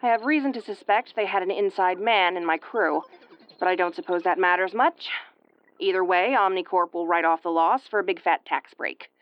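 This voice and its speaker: A woman's voice: lowish voice, moderate pace, clean tone, lo-fi sound, close to an everyday voice. A woman playing an injured, sarcastic starship first officer in an audio roleplay, with a wry, exasperated tomboyish delivery. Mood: weary, wry. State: woozy and battered. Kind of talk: audio roleplay acting, recorded log monologue.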